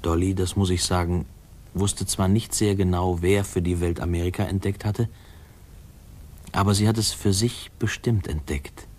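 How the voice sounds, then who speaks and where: An actor playing a man tenderly remembering his childhood, narrating a 1950s radio play in a mellow, reflective way. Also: sits low, fairly even intonation, moderate pace. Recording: old radio play, music behind.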